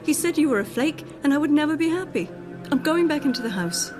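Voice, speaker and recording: An actress playing an exasperated, sarcastic middle-aged wife in a radio drama. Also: female voice, high-pitched, lively intonation, quick pace, music in the background. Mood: fed up.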